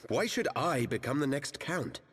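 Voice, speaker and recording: An actor with a British accent playing an earnest, burdened young noble knight in a video game cutscene, speaking in formal, archaic phrases. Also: male voice, mid-range voice, big pitch swings, moderate pace, fairly bright tone, slightly rough. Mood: troubled, solemn. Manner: formal, archaic, courtly speech. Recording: long hall reverb.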